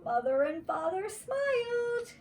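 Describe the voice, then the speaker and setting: A woman reading a bedtime story aloud to young children in an expressive, deliberate teacher's manner. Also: extremely high pitch.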